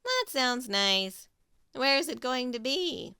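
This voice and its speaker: A woman's voice: high-pitched, very animated, slow, sharp tone, clear, resonant tone, no room sound, clean studio sound, full character voice. A woman voicing a sleepy, tired female goose who yawns and drawls her words. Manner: yawning, drawling.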